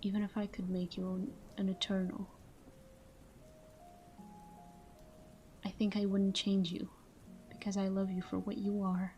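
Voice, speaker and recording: Woman playing a soft, dark-toned, half-whispering vampire lover. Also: medium pitch, completely flat delivery, muffled recording, lightly acted. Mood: devoted, wistful. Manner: slow, hushed, wistful delivery. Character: tender, devoted, melancholy immortal vampire woman lover. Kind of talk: intimate ASMR sleep-aid roleplay monologue. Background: soft background music.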